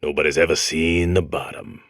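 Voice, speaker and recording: A man's voice: a bass voice, little pitch movement, moderate pace, no room sound, clean studio sound. An American man voicing a comic game robot that talks like a grizzled old convict, lecturing in folksy, tough prison slang. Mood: tough, warning. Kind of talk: comic game character monologue.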